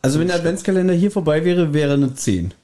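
A podcast host, a man with a very mellow, fairly high voice. He talks casually in a group, blunt and jokey in a crude way. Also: expressive, fast.

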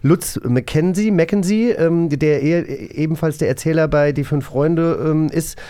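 A man's voice: on the high side, a little slow, dark tone, clean tone. A man co-hosting a podcast in casual chat, somewhat hesitant and often correcting himself. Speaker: podcast co-host.

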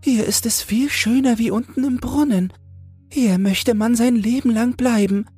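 A man's voice: very high-pitched, unhurried, a little mellow, husky, no echo, soft background music, pitched above the performer's own voice, heavily acted. A man voicing the smallest young toad in a fairy tale, humble and wistful, speaking with earnest longing. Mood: delighted wonder.

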